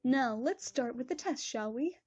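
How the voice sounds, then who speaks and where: A woman's voice: high voice, expressive, normal speed, a little mellow, dry recording, soft background music. A woman voicing a kind, motherly pony princess who speaks in a composed, formal way, in a lo-fi recording. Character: gentle, regal adult pony princess, motherly mentor.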